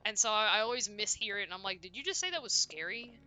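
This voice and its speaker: A young woman chatting casually and loudly on a game livestream, rambling and often cutting herself off as she plays. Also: mid-range voice, lively intonation, fast talker, faint music behind. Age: young adult. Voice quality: loud voice. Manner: rambling, self-interrupting, frequent "like". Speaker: video game streamer. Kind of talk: casual livestream chat while playing.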